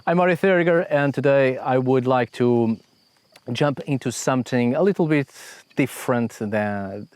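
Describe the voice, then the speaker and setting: A man's voice: mid-range voice, dry recording. Thoughtful Portuguese-accented man, dry sound. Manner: soft-spoken, thoughtful, many pauses and fillers, small laughs. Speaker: host of a folk magic channel. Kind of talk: reflective spoken talk.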